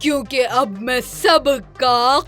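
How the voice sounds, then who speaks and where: A woman voicing a wicked, menacing witch in a children's fairy-tale cartoon, with drawn-out cackling threats. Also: high voice, a bit bright, soft background music. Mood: gloating menace.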